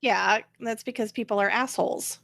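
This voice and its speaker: A woman's voice: medium pitch, steady tone, moderate pace, vocal fry, fairly bright tone, no echo, studio quality. A woman host chatting casually about politics in a blunt, sarcastic, mildly profane way. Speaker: talk show host.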